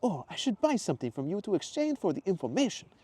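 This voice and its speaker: A man voicing an earnest young foreign traveller who speaks slowly and formally. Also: high-pitched, huge pitch swings, darkish tone, slightly rough, voice pushed up, heavily acted. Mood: eager. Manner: slow, earnest, formal phrasing.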